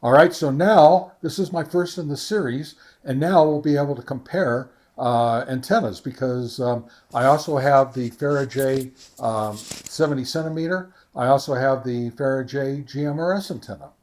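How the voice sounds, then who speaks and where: A man giving a casual, informal product review and field test, talking in a halting, conversational way. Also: medium pitch, darkish tone. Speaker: ham radio reviewer and vlog host.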